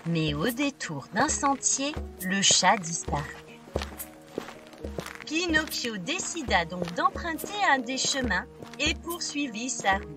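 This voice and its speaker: A woman narrating fairy tales for small children in an expressive, deliberate scripted style. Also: fairly high pitch. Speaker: children's storyteller. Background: music.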